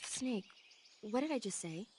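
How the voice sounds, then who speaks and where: An actress playing an earnest, knowledgeable support advisor in a video game, explaining things informatively. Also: female voice, on the high side, expressive, a little slow, a little mellow, slight background noise. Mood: exasperated. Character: knowledgeable female radio support advisor, earnest, easily exasperated.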